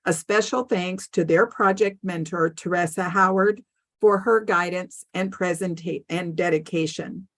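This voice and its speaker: A woman moderating a student science symposium, reading team introductions in a measured, formal way. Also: on the low side, steady tone.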